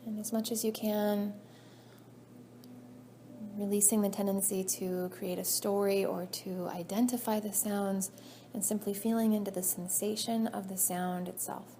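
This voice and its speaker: A woman leading a guided meditation as a yoga nidra teacher, soothing and measured, her phrases trailing off softly. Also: mid-range voice, level tone. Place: indoor class room. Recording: faint background noise, live class recording.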